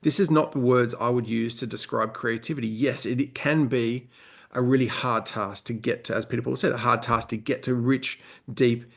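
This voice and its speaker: A man talks through survey findings in a relaxed, conversational way, with a noticeably gravelly voice at an average pitch. He often pauses with "um" and repeats words while he talks. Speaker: co-presenter. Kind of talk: webinar presentation.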